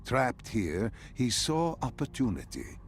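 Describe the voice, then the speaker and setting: An actor playing a grave, all-knowing interrogator in a video game cutscene, explaining things in a measured, ominous, theatrical way. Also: male voice, mid-range voice, big pitch swings, a little hiss or hum. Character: grave all-knowing male interrogator lecturing on dark gods.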